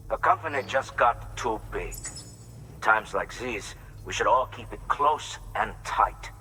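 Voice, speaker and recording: A man's voice: fairly low pitch, measured pace, bright, forceful tone, far from the mic, a little hiss or hum. An actor playing a guarded, reclusive man in a video game, musing in conversational, emphatic speech. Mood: brooding. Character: guarded, reclusive male shelter dweller, grateful but distrustful.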